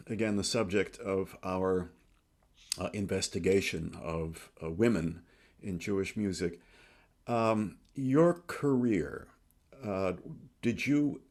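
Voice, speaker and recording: Courteous low-voiced male interviewer. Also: darkish tone. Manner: measured, courteous, with fillers. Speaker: interviewer, host. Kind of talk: interview questions.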